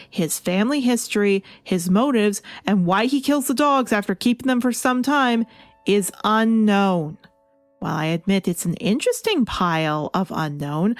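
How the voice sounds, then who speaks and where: A woman giving opinionated review commentary in an emphatic, sarcastic, incredulous manner. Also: fairly high pitch. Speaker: comic book reviewer. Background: music behind the voice.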